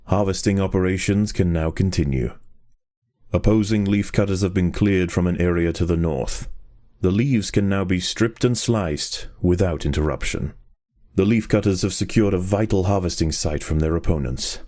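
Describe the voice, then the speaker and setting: A man playing a solemn nature documentary narrator in a video game, measured, grave and formal. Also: low-pitched, dark tone, natural voice.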